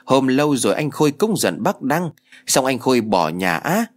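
A man's voice: medium pitch, very animated, moderate pace. A man voicing a chatty, clingy little girl in a read-aloud story, pleading in a whiny child's way. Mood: insistent.

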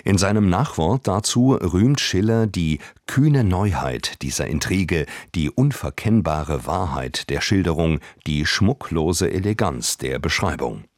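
A man reading a scripted introduction as a measured radio announcer. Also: low-pitched, expressive, no room sound, clean studio sound.

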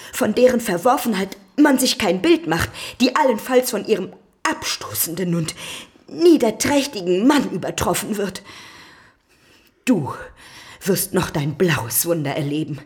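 Low, venomous scheming female cousin. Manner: dramatic, dropping into hissed whispers. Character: scheming spinster cousin, venomous, feigning outraged virtue. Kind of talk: radio drama acting.

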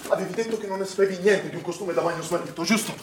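A man's voice: sits high, rapid-fire, natural voice. An actor playing a suspicious swimming instructor who probes and accuses his colleague in naturalistic stage drama. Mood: pressing, accusatory. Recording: distant, echoey, noisy stage recording.

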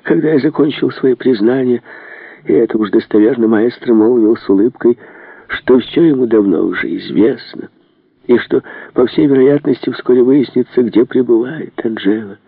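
An agitated, irritable prince played by a male reader in a storybook, swinging between bluster and anguish. His voice is husky and climbs well up when he frets, heard through a narrow phone-like band. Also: medium pitch, moderate pace, dry recording. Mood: fretful. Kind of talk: dramatised audiobook character reading.

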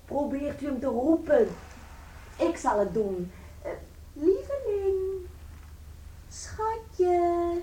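A woman's voice: high-pitched, lively intonation, drawn-out words, pauses often, warm tone, a little hiss or hum, exaggerated voice, rough recording. An actress in a radio play playing a doting wife who coos tenderly and exclaims as she fusses over her husband. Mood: anxious, eager.

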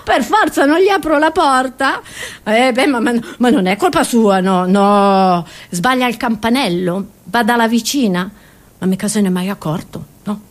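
Woman doing a little old granny, high voice, hard of hearing, rambles and grumbles, repeats stuff, comedy stage bit. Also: measured pace, close to an everyday voice. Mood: gossipy. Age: older. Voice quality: high-pitched old-lady voice. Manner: rambling, repetitive, stammering indignant grumbles. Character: chatty, grumbling, half-deaf elderly Italian grandmother. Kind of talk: comic stage monologue.

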